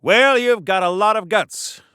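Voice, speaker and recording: A man's voice: sits high, measured pace, sharp tone, smooth, dry recording, clean studio sound. A man playing a pompous, chipper 1940s patriotic superhero in a video game, declaiming old-fashioned wholesome patter like a radio serial hero. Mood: triumphant, taunting.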